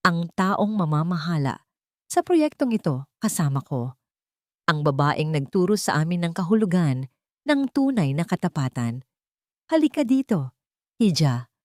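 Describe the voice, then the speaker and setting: A woman's voice: fairly low pitch, sing-song, unhurried, long pauses, darkish tone, no room sound, studio quality. A woman voicing a dignified, proud elderly matriarch in a story, speaking formally and with stately poise. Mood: proud, affectionate. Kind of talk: storybook character dialogue.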